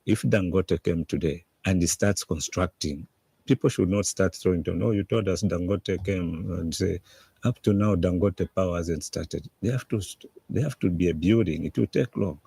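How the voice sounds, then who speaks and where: Very deep, gravelly Zambian man. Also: normal speed, mellow, no echo. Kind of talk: unscripted spoken explanation.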